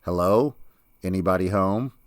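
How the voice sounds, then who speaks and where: A man's voice: deep voice, normal speed, no echo, clean studio sound, natural voice. A man voicing a polite, inquisitive young woman in an audiobook story. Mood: calling out. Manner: polite, questioning.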